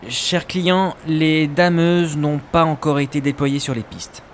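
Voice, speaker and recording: A man's voice: on the high side, fairly even intonation, slow pace, a little hiss or hum. A man playing an over-eager, formal holiday activities organiser in an audio comedy, with an obsequious, fussy manner. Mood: formal, apologetic. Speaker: voice actor. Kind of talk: amateur French audio comedy acting.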